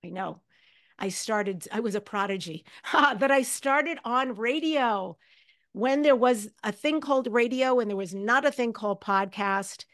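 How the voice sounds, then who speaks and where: A woman's voice: on the high side, measured pace. Effusive woman hosting an interview podcast. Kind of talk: conversational interview.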